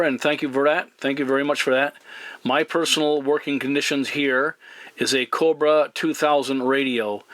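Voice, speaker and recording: Male radio operator, measured and polite, bright edge to the voice, very dry recording, methodical over-and-out delivery. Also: mid-range voice, no echo. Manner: courteous, deliberate, formal radio procedure. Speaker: CB radio operator and video channel host. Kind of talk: CB radio exchange with spelling alphabet.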